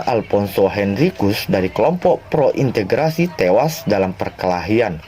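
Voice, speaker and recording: A man reading a scripted history documentary narration in a measured way, slowing down on names and dates. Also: medium pitch, dark tone.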